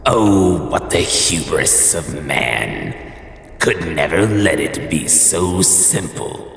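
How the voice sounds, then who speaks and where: A man narrating a horror-anthology introduction in a slow, grave, portentous way. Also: low voice, expressive, creaky, fairly bright tone, faint music behind. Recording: cavernous hall reverb.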